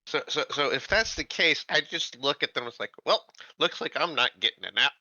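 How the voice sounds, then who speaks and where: Very sharp-voiced man joking through a game. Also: fairly high pitch, expressive, fairly quick, dry recording, lo-fi sound. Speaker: player in a tabletop role-playing game. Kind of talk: casual, wisecracking game chat.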